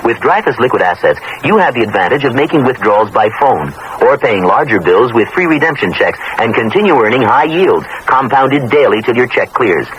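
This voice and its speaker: American male announcer, scripted radio ad, medium pitch, varied intonation, faint vintage hiss. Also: sing-song, fairly quick, few pauses, fairly bright tone, rough recording. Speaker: commercial announcer.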